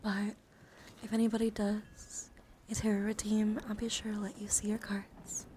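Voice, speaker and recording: A woman's voice: medium pitch, monotone, normal speed. Whispering woman streamer, noisy background. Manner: alternates soft whispering with hushed talk. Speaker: livestream host. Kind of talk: whispered ASMR chat. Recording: clear background noise on livestream.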